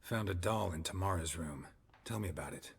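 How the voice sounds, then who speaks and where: An actor playing a terse, world-weary monster hunter in a video game, with a gravelly, breathy voice and clipped deadpan delivery. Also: male voice, low voice, fairly quick, big-room sound, exaggerated voice. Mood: probing. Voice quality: very husky, gravelly near-whisper. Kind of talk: understated video game acting.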